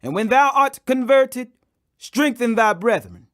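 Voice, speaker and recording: A man's voice: very high-pitched, animated, a little slow, fairly bright tone, exaggerated voice. A man voicing a grave, commanding religious teacher in a solemn, declamatory scripture reading. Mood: grave, urgent.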